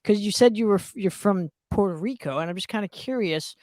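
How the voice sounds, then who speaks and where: A woman's voice: medium pitch, a little fast, darkish tone, no echo. Curious woman host, dry sound. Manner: curious, asks follow-up questions. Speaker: podcast host. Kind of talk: casual interview.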